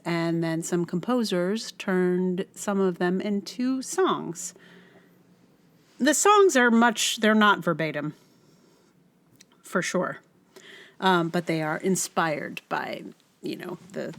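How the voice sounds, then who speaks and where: A woman hosting a solo podcast in a casual, rambling way, often thinking aloud in unfinished phrases. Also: on the low side. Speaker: podcast host.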